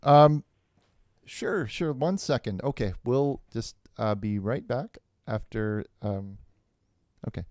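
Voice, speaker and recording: A man playing a bumbling, evasive televangelist hosting a parody call-in show, halting and repeating himself. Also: mid-range voice, a little mellow, no echo, studio quality, lightly acted. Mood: sheepish, pitching. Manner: halting, stammering, repetitive. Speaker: host. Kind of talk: improvised parody call-in religious broadcast.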